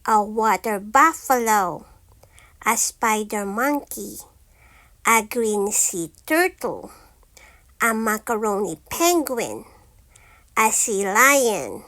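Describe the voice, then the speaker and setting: A woman reading a children's picture book aloud as a teacher, in a deliberate, singsong, repetitive way. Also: mid-range voice, very animated, fairly bright tone. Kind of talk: slow children's read-aloud.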